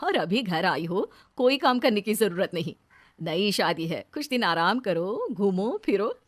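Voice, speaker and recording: A woman playing a kind, easygoing older mother-in-law who speaks gently and reassuringly in an animated moral story. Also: mid-range voice, a little slow, dry recording, pitched below the performer's own voice.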